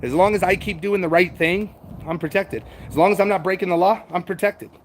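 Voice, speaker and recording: A middle-aged American man with a fairly high voice talks off the cuff as a livestream host. He rambles and circles back on his points in a conversational, unscripted way, as if answering a chat. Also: steady tone, fairly quick, a little hiss or hum. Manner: rambling, repetitive, conversational, swears casually. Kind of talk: casual unscripted chat, reading out and answering comments.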